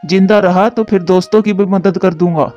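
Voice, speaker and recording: A man's voice: sits high, fairly even intonation, brisk, darkish tone, lightly acted. A man voicing a selfish, sly, lazy male crow in a children's story cartoon. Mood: scheming. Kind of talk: Hindi children's moral story cartoon dialogue. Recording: dull lo-fi track with music.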